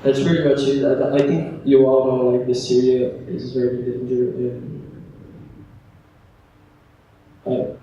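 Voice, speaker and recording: Slow, flat young man from Brazil. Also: medium pitch, dull audio. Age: young adult. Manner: halting, hesitant, trails off. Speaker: student panelist. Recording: echoey room from far off, faint noise.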